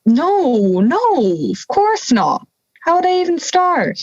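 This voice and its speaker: A woman's voice: very high-pitched, sing-song, slow, a little mellow, no room sound, lo-fi sound, full character voice. A young woman playing a touchy, wry, harmless talking wolf in an audio drama, indignant and teasing. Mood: exasperated.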